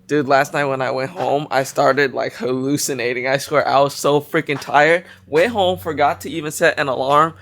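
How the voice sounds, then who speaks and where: A young man talking casually to camera in a garage, excited and slangy as he shows off a car. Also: a little high. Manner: excited, slangy, hypes things up. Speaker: car vlogger. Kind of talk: casual vlog talk to camera.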